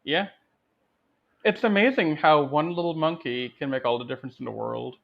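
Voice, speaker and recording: A man's voice: on the high side, moderate pace, gravelly. A man co-hosting a casual film chat, speaking in a reflective, appreciative and conversational way. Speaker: podcast co-host. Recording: dull, lo-fi microphone sound.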